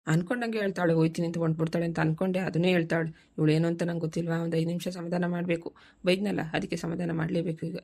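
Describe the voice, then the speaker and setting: Lady doing a grumbly farmer husband in a cartoon, lowish voice, really rapid, rural southern karnataka accent. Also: fairly even intonation, a little mellow. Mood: muttering, calculating. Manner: bickering, colloquial, nagging questions. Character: grumbling village farmer husband, quick-tempered then coaxing. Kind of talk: family cartoon dialogue.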